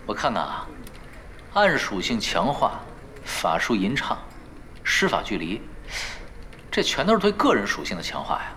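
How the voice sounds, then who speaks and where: An actor in a Chinese audio drama playing a laid-back veteran pro gamer, teasing and persuasive in an unhurried way. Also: male voice, on the low side, wildly swooping pitch, bright, forceful tone, a little hiss or hum, full character voice. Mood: probing. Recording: background music under the dialogue.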